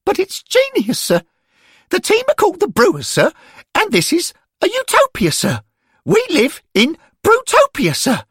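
A man voicing a nervous, sulky schoolboy ghost in a high squeaky falsetto who whines and ends his lines with "sir". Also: huge pitch swings, measured pace, fairly bright tone, no room sound, clean studio sound, heavily acted. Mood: whiny.

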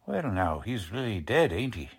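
A man's voice: lowish voice, big pitch swings, moderate pace, breathy edge, full character voice. A man playing a cocky, folksy police lieutenant with slangy, ungrammatical talk in 1940s radio drama style. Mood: businesslike, grave. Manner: slangy, ungrammatical tough-guy speech.

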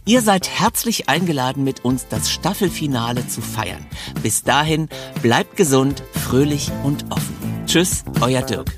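A man's voice: medium pitch, lively intonation, measured pace, music in the background. A man hosting a podcast, speaking in a conversational interview style. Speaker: podcast host.

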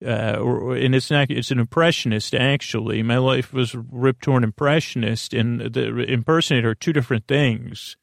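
A man with a medium-pitched, creaky voice hosts a bedtime podcast. He meanders from one tangent to another, halting, repeating and interrupting himself. The recording is dry, with very little room sound. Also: fairly even intonation, no echo. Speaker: podcast host. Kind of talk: rambling bedtime sleep podcast intro.

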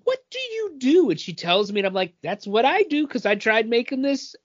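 A man's voice: extremely high pitch, sing-song, normal speed. A man co-hosting a podcast, casually telling anecdotes. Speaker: podcast co-host. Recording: dull, muffled audio.

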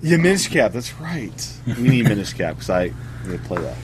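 A man's voice: on the low side, very animated, moderate pace, crowd noise. A man hosting a casual video game podcast who chats, laughs often and trails off mid-sentence. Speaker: podcast host. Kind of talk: casual chat about video games.

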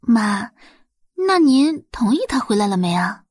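A woman's voice: mid-range voice, lively intonation, unhurried, a little mellow, dry recording, studio quality. A woman voicing a young rich daughter in an audio drama, falsely sweet, cooing and wheedling. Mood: probing.

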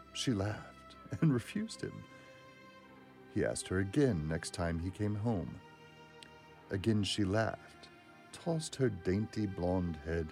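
A man's voice: deep voice, a little mellow. A man presenting and narrating a classic story as a dramatic literary reading.

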